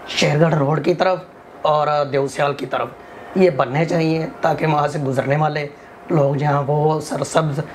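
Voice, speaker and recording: A Pakistani man with a sore throat speaks in earnest, appealing tones as a studio guest, a touch higher than most men. His answers run on with habitual fillers. A faint hiss sits under the recording. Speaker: forest restoration activist, interview guest. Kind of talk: formal interview answers.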